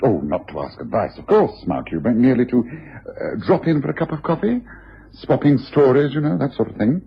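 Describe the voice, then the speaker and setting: An actor playing a keen, urbane, wry English gentleman detective in a radio drama, with a British accent. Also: medium pitch, big pitch swings, fairly quick, vocal fry, breathy edge, heavily acted. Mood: wry, intrigued. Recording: muffled, hazy old radio drama recording.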